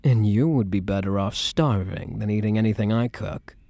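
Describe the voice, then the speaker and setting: Man acting as a mellow, low, teasing boyfriend. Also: normal speed, vocal fry, dry recording. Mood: warm, suave. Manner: soft-spoken, teasing, wry, casual. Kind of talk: boyfriend ASMR roleplay, intimate scripted acting.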